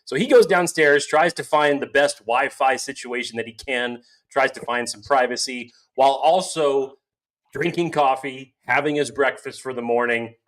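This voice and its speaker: A man's voice: medium pitch, moderate pace, fairly bright tone. A man co-hosting a casual sports podcast, talking with hype-style energy and doing ad reads over a remote call. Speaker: podcast co-host.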